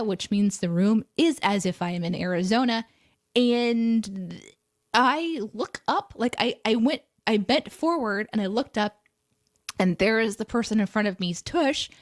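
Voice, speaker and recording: A woman's voice: a little high, no room sound, clean studio sound. A woman co-hosting a live morning stream, telling a story in casual chat and laughing easily.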